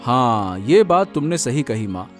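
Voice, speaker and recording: A man voicing an eager, kind young village son in a cartoon, excitable and prone to stammering. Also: medium pitch, unhurried, soft background music. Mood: earnest. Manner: excitable, stammers when flustered. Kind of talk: Hindi cartoon dubbing.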